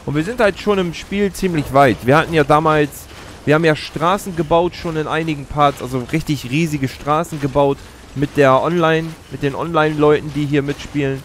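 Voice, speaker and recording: A man's voice: on the high side, moderate pace, slight background noise. A man in his thirties giving casual, explanatory gameplay commentary into a close streaming mic. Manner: explanatory, chatty. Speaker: let's play host. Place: indoor streaming setup. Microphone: close streaming mic at gaming chair.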